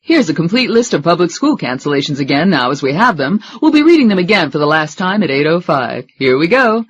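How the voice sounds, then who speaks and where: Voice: mid-range voice, big pitch swings, brisk, dull audio, slight background noise, close to an everyday voice. A narrator voicing a chatty local radio announcer reading out a list of storm closings. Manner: chatty broadcast delivery.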